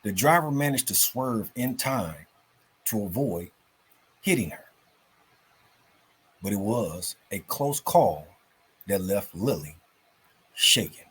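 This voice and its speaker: A man hosting and reading for a children's story show in an informal, folksy, unhurried way, with a husky, raspy voice. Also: lowish voice, expressive, a bit bright. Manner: folksy, unhurried, addresses listeners as family.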